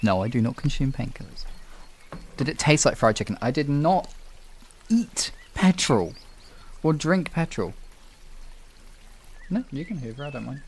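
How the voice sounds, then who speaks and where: A man's voice: mid-range voice, lively intonation, a little slow, pauses often, slight background noise. A man with a British accent hosting a livestream, chatting casually and rambling informally with some swearing. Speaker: livestream host. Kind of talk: casual chat with stream viewers.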